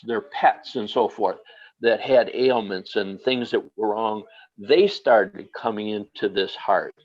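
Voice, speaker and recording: A man telling a personal experience, speaking slowly and haltingly with many fillers. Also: on the low side, highs cut off. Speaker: guest.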